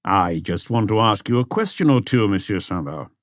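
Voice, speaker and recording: Audiobook police inspector played by a man: low, unruffled, courteous but persistent interrogator, dry sound. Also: lively intonation, dry recording, lightly acted. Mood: probing. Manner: measured, politely insistent questioning. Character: calm, probing male police chief inspector.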